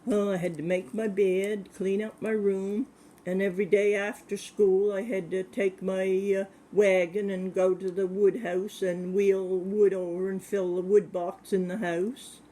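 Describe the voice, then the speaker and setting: A man in his seventies, answering questions about his childhood in a plain, hesitant way with many pauses. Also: high-pitched, level tone, mellow, rough recording. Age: 70s. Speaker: interviewee. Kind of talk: casual recollection.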